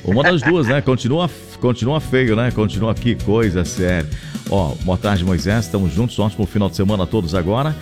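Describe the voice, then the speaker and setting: Male radio host, southern Brazilian accent, deep-leaning voice, effusive patter over a clear music bed. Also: animated, fairly quick, a little mellow. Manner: chatty, effusive, repeats phrases. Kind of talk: live radio chat, shout-outs and ad reads. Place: radio studio. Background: music under the voice.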